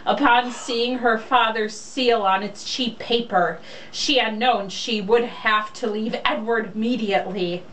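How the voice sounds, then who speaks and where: Blunt, sarcastic woman, slow and slightly high. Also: steady tone, fairly bright tone. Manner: blunt, sarcastic, swears freely. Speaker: co-host reading a romance novel aloud. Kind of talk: casual mocking chat and amused reading aloud. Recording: distant mic, faint background noise.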